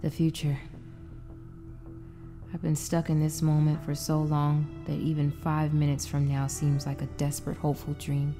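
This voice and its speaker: Woman playing anguished wife stuck in frozen time, audio drama, lowish voice, emotional, music under it. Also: completely flat delivery, normal speed, mellow, close to an everyday voice. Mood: somber reflective. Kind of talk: audio drama narration and dialogue.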